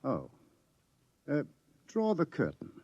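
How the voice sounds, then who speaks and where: An actor playing a scrawny, fatalistic professor in an old-time radio drama, musing and explaining with wry knowingness. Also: male voice, fairly high pitch, wildly swooping pitch, slow, darkish tone, husky, dry recording, highs cut off, heavily acted. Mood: teasing challenge.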